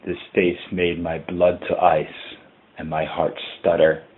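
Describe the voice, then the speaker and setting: A man playing a haunted, dread-filled character who records a horror audio log in grave, deliberate, formal language, with a husky voice. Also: low voice, flat delivery, phone-quality sound. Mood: mounting dread. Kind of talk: scripted first-person horror narration.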